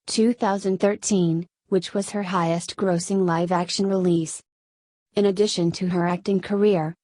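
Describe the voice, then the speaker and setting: A woman reading an encyclopedia article aloud in an even, measured way, pausing at each date. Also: mid-range voice, no echo. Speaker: article reader. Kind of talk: scripted encyclopedia narration.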